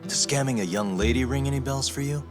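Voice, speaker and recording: A man's voice: medium pitch, quick pace, music in the background, close to an everyday voice. A man playing a dry, confident, taunting investigator in a video game cutscene. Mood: sardonic, accusing. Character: cool, sardonic male investigator confronting wrongdoers. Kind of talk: dramatic game cutscene dialogue, English dub.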